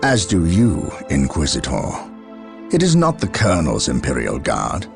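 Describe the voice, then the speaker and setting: Deep-voiced stern marine captain. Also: male voice, huge pitch swings, moderate pace, vocal fry, exaggerated voice. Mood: stern, defiant. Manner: authoritative, formal. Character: stern, proud space marine captain, commanding and distrustful. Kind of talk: game cutscene acting. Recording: loud music behind.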